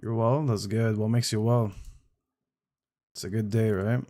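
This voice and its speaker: Chatty male streamer, lowish voice. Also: steady tone, unhurried, warm tone. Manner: friendly, chatty, addresses viewers directly. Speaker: game streamer. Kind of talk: casual live-stream chat.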